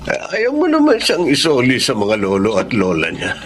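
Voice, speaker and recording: A Filipino actor playing a tender, expressive middle-aged husband and father figure in a Tagalog radio drama. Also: medium pitch, moderate pace, slight background noise. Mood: calm concern. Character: Romulo, doting middle-aged husband minding an abandoned baby.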